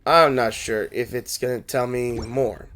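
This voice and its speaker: A man's voice: mid-range voice, steady tone, unhurried, fairly bright tone. A man doing casual, rambling game commentary, thinking aloud as host. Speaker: gaming video host. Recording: game music behind the voice.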